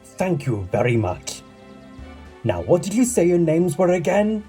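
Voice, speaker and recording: A man's voice: high voice, big pitch swings, unhurried, warm tone, soft background music. A man playing a kindly island boss in an audio drama, courteous, encouraging and a little formal. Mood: pleased, welcoming. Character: kindly male island boss reassuring young workers. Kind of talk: scripted audio drama dialogue.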